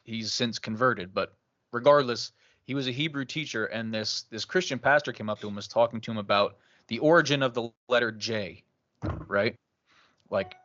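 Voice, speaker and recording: A man's voice: medium pitch, fairly bright tone, no room sound, lo-fi sound. A man hosting a religious discussion podcast, talking casually and laughing as he tells a story. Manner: conversational, laughs while telling stories. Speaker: podcast host.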